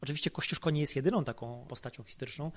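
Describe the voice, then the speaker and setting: A man speaking as an expert guest in an analytical podcast discussion, measured and explanatory, stressing key words. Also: a little high, a bit bright.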